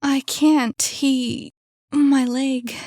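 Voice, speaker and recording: A woman voicing a desperate trapped young woman who urgently pleads and shouts through a door. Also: sits high, little pitch movement, extremely slow, dry recording, studio quality, pitched above the performer's own voice. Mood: pleading.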